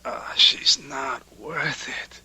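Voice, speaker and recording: An actor playing a bitter, menacing husband with sardonic, deliberate delivery in an old-time radio drama. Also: male voice, bright, forceful tone, whispered, exaggerated voice. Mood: weak, bitter.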